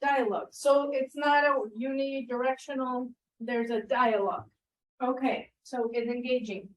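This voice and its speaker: A woman's voice: high voice, steady tone, moderate pace. A middle-aged woman leading a teaching workshop in a classroom, engaging the group with questions and echoing their answers. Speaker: workshop presenter.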